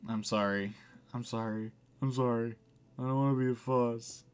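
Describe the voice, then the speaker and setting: A man's voice: medium pitch, fairly even intonation, normal speed, clear, resonant tone, close to an everyday voice. A man voicing an anxious, apologetic, newly dead cafe customer with a hesitant manner in a visual novel.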